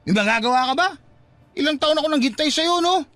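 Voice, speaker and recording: A man's voice: very high voice, animated, quick pace, pushed and bright, full character voice. An actor playing a hurt, fed-up young father in an emotional, reproachful Filipino radio drama style. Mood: agitated, bitter. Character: hurt, fed-up young father giving up on his unrequited love.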